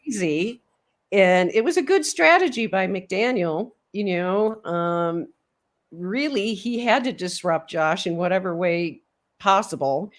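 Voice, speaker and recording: A woman hosting a casual sports chat, talking through the game in a measured, analytical way. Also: mid-range voice, animated. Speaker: host.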